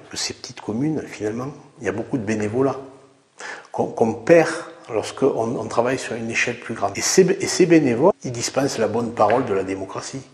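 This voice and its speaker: A man with a medium-pitched voice speaks reflectively in an echoey room. He is halting and repeats his words, giving testimony as a local official.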